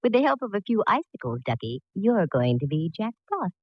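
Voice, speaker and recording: A woman's voice: mid-range voice, sing-song, dull audio, natural voice. An actress playing a breezy, wisecracking female private eye in 1940s radio drama style, glib and sardonic, on a vintage recording. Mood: teasing, coaxing. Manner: glib, sardonic, quick with quips.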